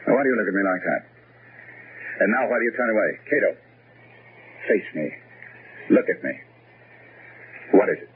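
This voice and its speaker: Sombre literary gentleman played by a man, very low voice, grave and resolute, gothic radio drama, dull noisy recording. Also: lively intonation, normal speed, pauses often, close to an everyday voice. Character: sombre gentleman narrator, loyal, resolute friend of the family.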